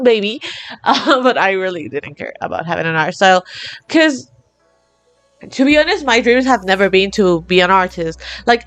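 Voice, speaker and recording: Bubbly Latin American woman vlogger. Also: dry recording. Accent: Latin American Spanish. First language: Spanish. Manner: bubbly and excitable, laughs often, lively swings in pitch. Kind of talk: casual chat to camera.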